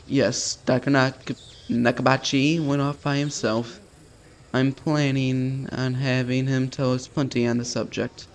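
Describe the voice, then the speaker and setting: A man's voice: mid-range voice, flat delivery, unhurried. A man hosting a gaming video, reading a visual novel aloud casually and stumbling now and then with sweary, self-deprecating asides.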